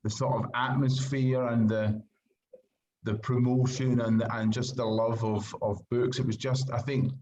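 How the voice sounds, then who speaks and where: A man's voice: fairly low pitch, darkish tone, slight background noise. Scottish man on dull, lo-fi audio. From Glasgow, Scotland. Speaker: interview guest, writer. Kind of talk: casual interview answers.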